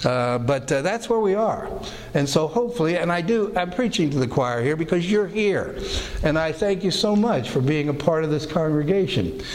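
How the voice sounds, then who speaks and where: An older man with a raspy voice, preaching a folksy, conversational sermon to his congregation, often acting out the voices of people he quotes. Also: fairly high pitch, lively intonation, moderate pace, a little mellow, a little hiss or hum. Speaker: pastor. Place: church sanctuary. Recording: reverberant hall.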